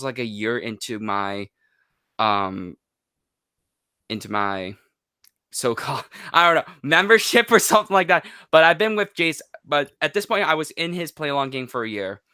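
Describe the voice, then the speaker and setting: A man's voice: a little high, pushed and bright, clear, resonant tone, no room sound, clean studio sound. An American man speaking as a podcast guest, telling anecdotes in a casual, conversational way. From United States. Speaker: podcast guest, online video creator.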